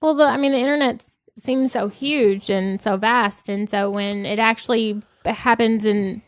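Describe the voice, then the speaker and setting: A woman in her thirties co-hosting a podcast, soft-spoken and a little nasal, pausing often with ums as she gathers her thoughts. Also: on the high side, steady tone, no echo, clean studio sound. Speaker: podcast co-host. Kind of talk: casual podcast chat.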